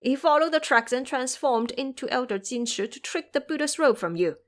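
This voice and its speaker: A woman voicing a male imp soldier on patrol who bursts in to report disaster, speaking loudly and urgently, in a storybook reading. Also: sits high, lively intonation, moderate pace, voice pushed up. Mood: alarmed.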